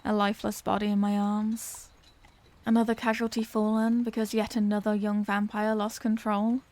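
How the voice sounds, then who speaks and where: A woman's voice: mid-range voice, flat delivery, moderate pace, darkish tone, natural voice. A woman voicing an anguished, tender Victorian lady vampire in an intimate audio roleplay, speaking in formal, earnest phrases. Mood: distressed, pleading. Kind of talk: scripted audio roleplay, intimate dramatic monologue.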